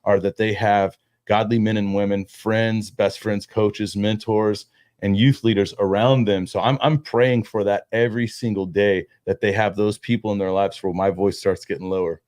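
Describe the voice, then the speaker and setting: A man's voice: on the low side, little pitch movement, darkish tone, dry recording, studio quality. A man in his thirties, a guest in a casual conversation, talking loosely with many restarts. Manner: quick, loose talk with many restarts and "dude".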